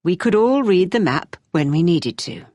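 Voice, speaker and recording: A British university tutor in a scripted exam dialogue. She is brisk and exacting yet encouraging, with very animated intonation and measured, emphatic delivery, recorded dry and close. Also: fairly low pitch, dry recording. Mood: constructive. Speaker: tutor giving presentation feedback. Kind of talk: scripted listening-test dialogue acting.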